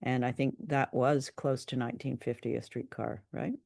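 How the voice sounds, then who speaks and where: A woman co-hosting a conversational review show, speaking in measured, hesitant phrases. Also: rumbling low, steady tone, gravelly, a little mellow, dry recording, clean studio sound. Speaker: podcast co-host. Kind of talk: conversational radio drama review.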